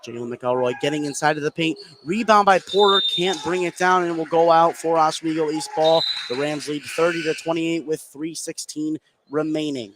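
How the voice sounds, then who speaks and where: A man's voice: a little high, moderate pace, hardly any pauses, noisy background. A man doing live play-by-play commentary on a basketball game. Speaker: play-by-play sports announcer. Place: indoor high school basketball game.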